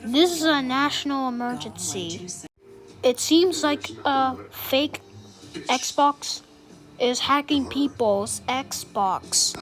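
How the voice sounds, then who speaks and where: A woman's voice: sits high, lively intonation, slow, a bit bright, faint music behind. A woman delivers a scripted emergency broadcast announcement as an alert announcer, in a formal, solemn manner.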